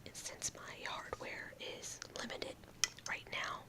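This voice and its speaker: A young woman in her room, whispering slowly and softly into a close mic in a casual ASMR ramble, her voice a little odd after a cold. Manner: slow, halting, talks with fillers and small laughs. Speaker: ASMR video creator.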